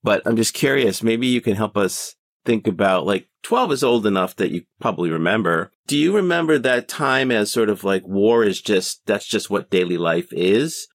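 A man's voice: lowish voice, lively intonation, moderate pace, few pauses, no room sound, clean studio sound. A man hosting a podcast, interviewing in a casual, conversational style. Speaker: podcast host.

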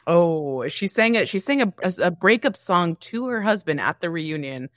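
Animated woman bantering on a podcast. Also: on the low side, moderate pace, no echo, studio quality. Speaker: podcast co-host. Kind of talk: casual chat.

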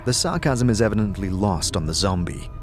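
A man's voice: fairly low pitch, expressive, brisk. A man narrating a scripted fantasy audio drama in the manner of a storyteller. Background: music.

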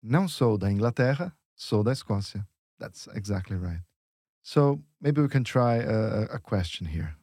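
A man's voice: on the low side, lively intonation, moderate pace, mellow, breathy edge, no echo, clean studio sound. A man teaching Portuguese in a lesson dialogue, explaining patiently step by step with examples.

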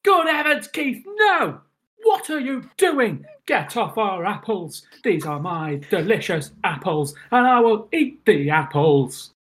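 Woman acting an indignant hot-headed defender, forceful, swooping pitch, exclaiming, improv comedy. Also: animated, measured pace, slight background noise. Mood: outraged. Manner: outraged, exclaiming.

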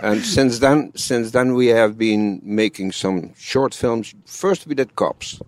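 A man's voice: mid-range voice, slow pace, darkish tone, no room sound. A man in his seventies with a Dutch accent, chatting casually as a guest in a matter-of-fact way, in slightly broken English. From Netherlands. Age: 70s. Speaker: guest, amateur film actor. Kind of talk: casual conversation.

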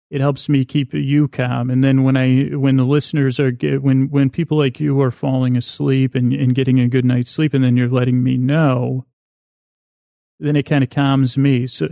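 A man hosting a sleep podcast in a soothing, meandering monologue, halting often, repeating words and interrupting himself, with a gravelly voice. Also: medium pitch, warm tone, no echo, studio quality. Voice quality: gravelly, creaky. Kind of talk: rambling, soothing bedtime monologue.

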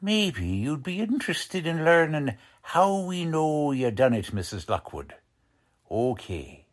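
Slow, gruff 1940s police lieutenant, played by a man. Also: on the high side, sing-song, exaggerated voice. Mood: accusing, impatient. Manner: slangy, ungrammatical tough-cop talk, accusing. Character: gruff, hard-boiled 1940s police lieutenant, impatient and bullying. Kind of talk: 1940s radio drama acting.

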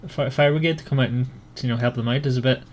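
A man casually discussing a book as a podcast co-host, often halting and repeating words. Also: medium pitch, fairly even intonation, a little hiss or hum.

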